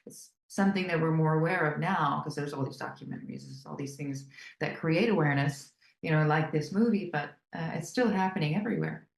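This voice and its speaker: German-accented actress, thoughtful interview answers, low register, roomy sound. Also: female voice, brisk. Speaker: actor. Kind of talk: press junket interview.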